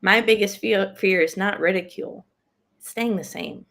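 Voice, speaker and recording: A woman's voice: mid-range voice, normal speed, creaky, a bit bright. A woman hosting a conversational interview in an effusive, encouraging manner. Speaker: interview host.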